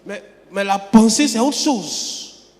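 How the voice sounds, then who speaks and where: Male preacher, high-pitched and emphatic, restarts phrases mid-sentence; recorded with a long hall echo. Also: animated, takes it slowly, darkish tone. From Côte d'Ivoire. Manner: emphatic, repeats words and restarts often. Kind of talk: sermon. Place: church sanctuary.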